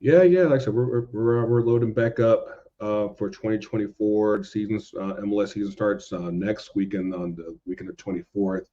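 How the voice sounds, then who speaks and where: A man's voice: lowish voice, fairly even intonation, brisk, few pauses, warm tone. An American man chatting casually as a podcast guest, informal and slangy, often repeating phrases for emphasis, on a dull lo-fi recording.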